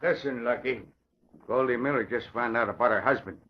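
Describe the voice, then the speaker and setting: Husky gangster henchman played by a man. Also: mid-range voice, fairly quick, natural voice. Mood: uneasy, deferential. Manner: slangy, ungrammatical tough-guy talk. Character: deferential male gangster henchman, rough and uneasy. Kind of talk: 1940s radio acting. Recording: dull old radio recording.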